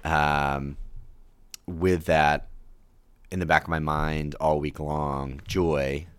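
A man with an extremely deep voice, a little hoarse from a cold. He talks in a halting, reflective way, full of ums and restarts. The sound is dry and close. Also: little pitch movement, a bit bright, no room sound. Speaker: pastor, podcast guest. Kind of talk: casual conversation.

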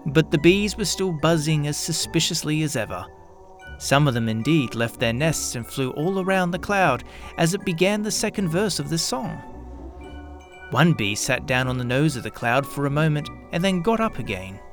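A man narrating a scripted children's story in an expressive, measured storytelling manner. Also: a little high, faint music behind.

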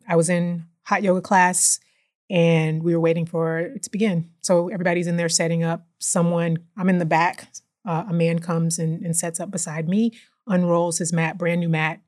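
A woman with a somewhat low voice, speaking as a guest on an interview podcast. She tells her story in a chatty, relaxed way and keeps checking in with a quick "right?". She grew up in Houston and works as a chemist and founder. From Houston, Texas. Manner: chatty, frequently tags sentences with "right?". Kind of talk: casual interview conversation.

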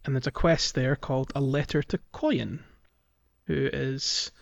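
A man's voice: medium pitch, fairly even intonation, measured pace, creaky, muffled recording. A man co-hosting a gaming podcast, talking casually and informally with a hesitant delivery. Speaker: podcast co-host. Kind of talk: casual gaming discussion, recapping a video game.

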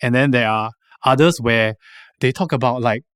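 A man's voice: medium pitch, normal speed, clean tone, no room sound. Chatty Singaporean man, dry studio sound. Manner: animated, mimics others in playful voices. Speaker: podcast guest, actor. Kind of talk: casual chat. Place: podcast studio.

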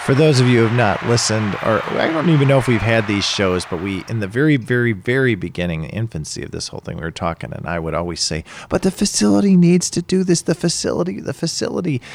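A man co-hosting a casual talk show, animated, laughing often and acting out what people say. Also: mid-range voice, noisy background. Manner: animated, laughs often, quick to act out quotes. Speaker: podcast co-host. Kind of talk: casual chat.

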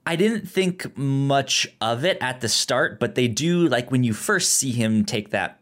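A man co-hosts a casual film discussion podcast. He takes his time when he talks, with a mid-range male pitch. He often stammers, repeating syllables as he works toward a word. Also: animated, a little slow, fairly bright tone. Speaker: podcast co-host.

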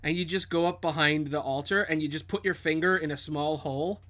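A man with a slightly high voice narrates a tabletop fantasy game as game master. His delivery is casual and improvised, full of vivid description and informal swearing. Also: fairly even intonation, fairly quick, a bit bright.

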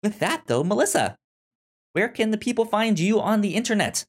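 A man co-hosting a podcast in casual chat, haltingly, often repeating and restarting his words. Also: high-pitched, expressive. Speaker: podcast co-host.

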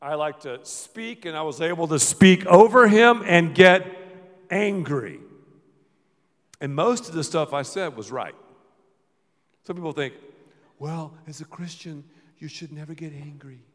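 A man preaching a sermon as pastor, playful and dramatic, often dropping to a low murmur. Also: lively intonation, a little slow, pauses often. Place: large church hall. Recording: reverberant church hall.

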